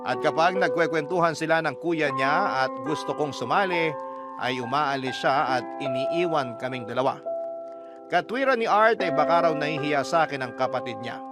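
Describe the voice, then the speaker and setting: A man's voice: on the high side, moderate pace. A man with a Filipino accent narrating a scripted first-person story as a radio storyteller. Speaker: radio storyteller reading a listener's letter. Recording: loud music under the narration.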